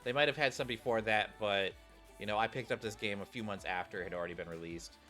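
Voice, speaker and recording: A man's voice: fairly low pitch, fast, sharp tone. A man who streams games, speaking in an informal, casual commentary style. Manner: informal, rambling, addresses viewers. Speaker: gaming streamer. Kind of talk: casual game commentary and reading aloud of story text. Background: game music.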